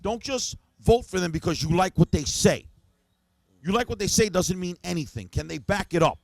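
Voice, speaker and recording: Brief: male podcast co-host, gravelly, fairly high voice, brash teasing banter, dry studio sound. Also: animated, normal speed, darkish tone, dry recording. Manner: brash, teasing, breaks into sing-song. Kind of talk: casual banter.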